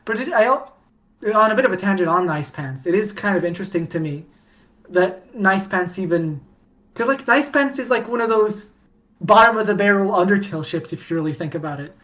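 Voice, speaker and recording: A woman chatting as a podcast guest, rambling with many restarts and sudden bursts of excitement. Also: mid-range voice, brisk, low-quality recording. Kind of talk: casual podcast chat.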